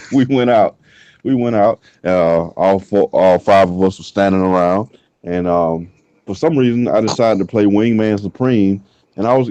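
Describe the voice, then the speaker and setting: A man in his thirties from the US South trades rough, laughing stories on a podcast. His voice sits low and mellow. The recording is dry and a little dull. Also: no echo. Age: 30s. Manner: loose, profane storytelling with frequent laughs. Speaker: podcast co-host. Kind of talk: casual chat.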